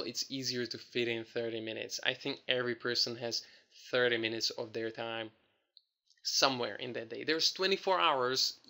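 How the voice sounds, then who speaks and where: Bright-voiced chatty young man. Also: medium pitch. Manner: relaxed, chatty, many fillers and restarts. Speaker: language learning vlogger. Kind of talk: casual talk to camera.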